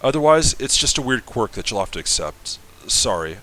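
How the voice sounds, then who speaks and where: A man's voice: mid-range voice, big pitch swings, normal speed, sharp tone, a little hiss or hum. A man reading the lines of an apologetic, chatty female ship AI from a game. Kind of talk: semi-dramatic reading of a game.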